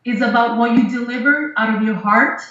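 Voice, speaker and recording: A woman's voice: on the high side, a little fast, fairly bright tone, very echoey, muffled recording. A woman guest on a talk show who speaks earnestly and from the heart. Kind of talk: casual radio talk.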